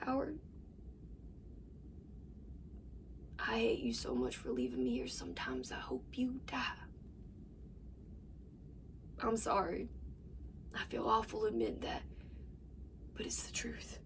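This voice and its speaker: A woman's voice: mid-range voice, sing-song, normal speed. A woman performing a dramatic monologue as a desperate young farm wife confessing to her absent husband in an anguished manner. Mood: guilty, hushed. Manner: anguished, swinging between whispers and outbursts. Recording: distant mic with faint background noise.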